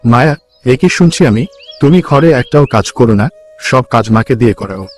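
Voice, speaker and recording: A man's voice: mid-range voice, lively intonation, normal speed, soft background music, close to an everyday voice. Man playing a stern, questioning young farmer husband. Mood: reproachful. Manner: questioning, reproachful. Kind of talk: cartoon folk-tale voice acting.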